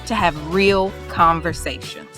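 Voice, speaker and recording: A woman's voice: fairly low pitch, steady tone, measured pace, a bit bright, soft background music. A woman co-hosting a podcast, exuberant and preacherly, sometimes singing her phrases. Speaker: podcast co-host. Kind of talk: casual podcast chat.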